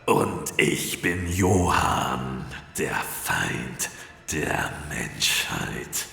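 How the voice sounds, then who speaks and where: A man voicing a menacing werewolf king who taunts slowly in a deep gravelly growl, in a cinematic audio drama. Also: sharp tone, exaggerated voice. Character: menacing male werewolf beast king, enemy of mankind. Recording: heavy echoing reverb over music.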